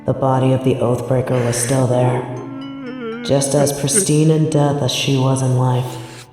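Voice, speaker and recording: A woman narrating a scripted story in the second person, in a measured storytelling manner. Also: extremely low pitch, level tone. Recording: very echoey, long reverb, music behind. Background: music.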